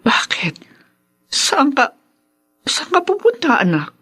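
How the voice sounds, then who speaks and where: A woman's voice: high voice, huge pitch swings, takes it slowly, sharp tone, heavily acted. A woman playing a remorseful, possessive older mother in a radio drama, emotional and pleading with tearful delivery. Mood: anxious, tearful. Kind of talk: Tagalog radio drama acting.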